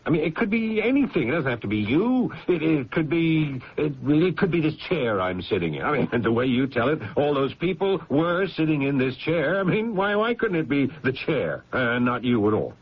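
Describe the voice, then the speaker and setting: An exasperated, reasonable sibling speaks in a radio drama, on a dull recording with faint noise. The delivery swings widely in pitch and trips over repeated words in disbelief. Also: male voice, big pitch swings, moderate pace, few pauses. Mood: flustered, reasoning. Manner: stammering, incredulous. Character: exasperated, sensible sibling trying to reason with brother. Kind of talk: old-time radio drama acting.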